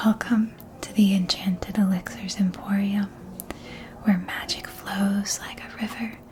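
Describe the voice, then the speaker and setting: A woman's voice: medium pitch, dark tone, slight background noise, close to an everyday voice. A woman playing a proud, gracious witch who owns a potion shop, speaking formally in a whispered ASMR fantasy roleplay. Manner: formal, gracious, welcoming sales patter.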